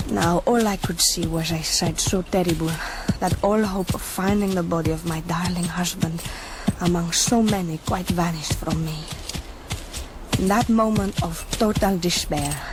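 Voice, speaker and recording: A woman's voice: lowish voice, background noise, lightly acted. A woman acting a devout, devoted young Spanish officer's wife in a radio drama. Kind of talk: radio drama narration and dialogue. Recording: music behind the voice.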